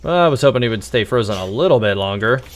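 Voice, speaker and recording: A man's voice: mid-range voice, lively intonation, fast. A man livestreaming a game, musing aloud in a wry, casual way. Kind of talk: casual live gameplay commentary.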